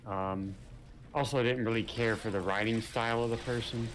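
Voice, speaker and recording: A man's voice: mid-range voice, fairly even intonation, brisk. A man chatting casually while playing a co-op game, rambling and hesitant with many fillers. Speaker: gamer. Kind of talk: casual gaming chat. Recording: noisy game audio behind.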